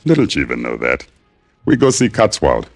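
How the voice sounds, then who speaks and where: An actor playing a devoted, trusting guide in an old radio drama, speaking broken English. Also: male voice, rumbling low, wildly swooping pitch, moderate pace, loud music behind the voice, full character voice. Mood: earnest, loyal. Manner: broken English, speaks of himself in third person. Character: devoted Haitian male local guide, simple and trusting.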